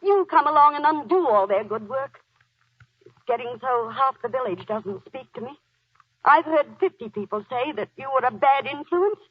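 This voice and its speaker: Husky, scolding wife. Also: female voice, high voice, animated, normal speed, no room sound. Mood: reproachful. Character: scolding, long-suffering, trusting wife and mother. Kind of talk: 1940s radio drama acting. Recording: dull, dry 1940s radio recording.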